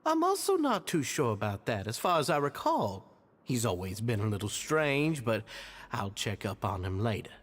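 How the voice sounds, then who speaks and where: A man's voice: medium pitch, big pitch swings, moderate pace. An actor playing an earnest, deferential male society leader in a video game, speaking politely and eagerly. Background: faint music.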